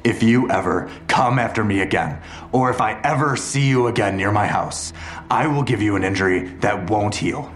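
Male actor as menacing audio drama detective, low voice, controlled, measured, strong room echo. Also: lightly acted. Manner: measured, coldly threatening.

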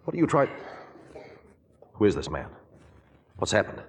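An actor playing an urgent, protective schemer in breathy, intense 1940s radio melodrama style. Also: male voice, fairly low pitch, big pitch swings, moderate pace. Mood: alarmed. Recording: echoey 1940s radio recording with music.

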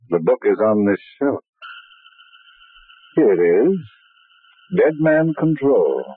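Spooky old radio mystery host, low and husky, suave and theatrical, lo-fi. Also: male voice, dry recording, full character voice. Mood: inviting, ominous. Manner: deliberate, theatrical, intimate. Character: suave, sinister male librarian host telling murder mysteries. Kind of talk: old-time radio dramatic narration. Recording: narrow, lo-fi vintage radio recording.

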